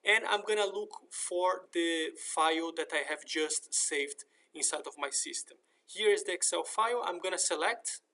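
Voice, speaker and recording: A man narrating a step-by-step software screen tutorial in a methodical, explanatory manner. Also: high voice, normal speed, few pauses. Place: voice-over for a screen recording.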